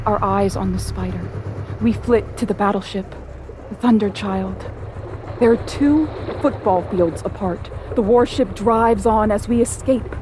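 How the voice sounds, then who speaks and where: An actress playing a woman survivor of an invasion, narrating poetically and deliberately in audio theatre. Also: medium pitch, noisy background, close to an everyday voice. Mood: tense awe. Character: Izzy, woman survivor fleeing by boat, awed narrator. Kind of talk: dramatic first-person audio theatre narration.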